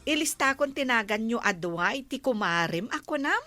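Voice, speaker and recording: A woman with an Ilocano accent playing an excitable, giggly, gossipy village woman in a comedy radio drama. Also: a little high, normal speed, over music, close to an everyday voice. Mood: teasing, excited.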